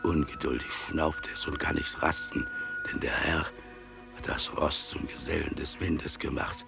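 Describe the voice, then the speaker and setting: An actor playing a devout father in a radio play, praising God's creation in solemn, exalted declamation with drawn-out emphatic phrases. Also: very deep voice, fairly even intonation, creaky, over music, full character voice. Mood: fervent exhortation.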